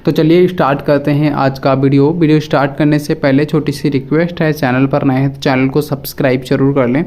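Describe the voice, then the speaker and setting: A man's voice: fairly high pitch, little pitch movement, fairly quick, darkish tone. A man presenting a casual step-by-step phone app tutorial, addressing viewers directly and often repeating his instructions.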